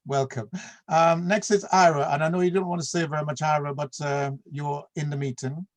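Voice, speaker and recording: A man's voice: fairly high pitch, steady tone, fairly quick. Easygoing man hosting an online meeting. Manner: easygoing, calls on each person by name. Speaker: meeting host. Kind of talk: online meeting roll call. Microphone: video call.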